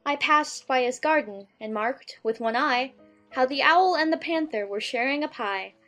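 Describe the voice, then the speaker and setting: Brief: woman playing a polite eager young girl, high bright voice. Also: moderate pace, soft background music. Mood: timid recital. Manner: eager and polite, timid when reciting.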